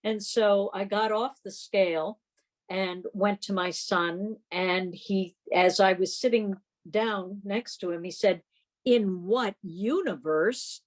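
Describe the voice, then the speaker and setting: A middle-aged woman chairing a club meeting on a video call, talking casually in a halting, rambling way. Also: mid-range voice.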